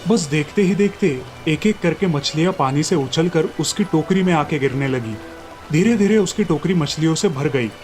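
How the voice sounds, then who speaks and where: A man's voice: fairly high pitch, normal speed, darkish tone. A man narrating a scripted fairy tale as a storyteller. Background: light background music.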